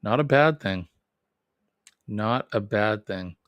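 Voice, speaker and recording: A man's voice: fairly low pitch, animated, slow, clear, resonant tone, dry recording. A man with a Boston accent hosting a casual live stream, rambling and correcting himself as he chats with viewers. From New England. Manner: rambling, self-correcting, chuckles often. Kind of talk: casual live chat reading viewer comments.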